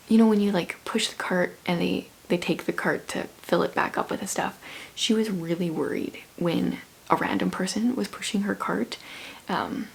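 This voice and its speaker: Husky-voiced woman chatting casually. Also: mid-range voice, poor audio. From Canadian prairies. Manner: rambling, hushed and breathy at times. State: sore throat, losing her voice. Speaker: vlogger. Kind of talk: casual chat.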